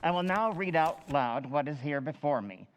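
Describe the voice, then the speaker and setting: An actor playing a formal, deliberate town official addressing a public meeting in a measured, declamatory old-time radio style. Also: male voice, a little high, lively intonation, a little hiss or hum. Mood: formal, suspenseful. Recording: echoey hall, slightly dull sound.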